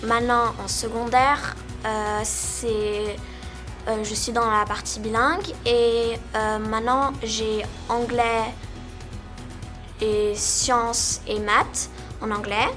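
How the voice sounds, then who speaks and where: A woman's voice: fairly high pitch, flat delivery, slow. A teenage girl student speaking hesitantly about her classes, with many fillers. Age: teens. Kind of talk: interview. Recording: music clearly underneath.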